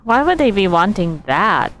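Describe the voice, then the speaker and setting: A woman streaming a video game, giving casual, halting commentary as she reacts. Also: mid-range voice, big pitch swings, fairly bright tone, slight background noise. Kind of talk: casual live gameplay commentary.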